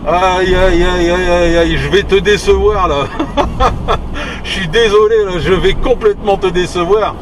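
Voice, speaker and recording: A man's voice: high voice, measured pace, recorded from a distance, crowd noise, noisy background. A man from northern France chatting casually over CB radio from his car, rambling with many fillers and restarts. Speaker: CB radio operator and video maker. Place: car on a road trip.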